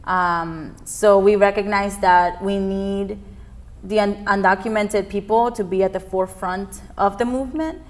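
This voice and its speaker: A woman's voice: medium pitch, steady tone, measured pace. A young woman from Peru, a youth immigrant activist, giving earnest interview answers with frequent ums. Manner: earnest, many fillers.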